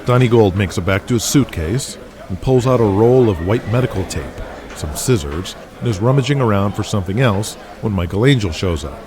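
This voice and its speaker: A man's voice: lowish voice, animated, fairly quick, hardly any pauses, crowd noise. A man narrating a scripted audio drama in the present tense. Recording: music under the voice.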